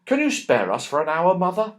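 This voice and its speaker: Man voicing an earnest young boy, lively pitch. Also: brisk. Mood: eager. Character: thoughtful, cautious young boy, eager to explore.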